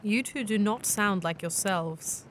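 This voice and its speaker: A woman playing a calm, careful traveler in a fantasy audio drama, speaking in measured, formal phrases. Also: medium pitch, slight background noise, natural voice. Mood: calm, gently teasing. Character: Ruth, calm, serious, careful female traveler.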